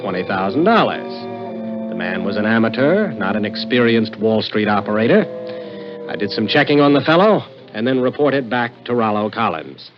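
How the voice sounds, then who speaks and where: A man's voice: lowish voice, expressive, normal speed, music in the background, lightly acted. An actor playing a shrewd, persistent investigator who tells his story dryly in an old-time radio drama. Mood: dry, brisk. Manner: dry, confident, persistent. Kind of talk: old-time radio detective narration and dialogue. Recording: muffled vintage radio recording.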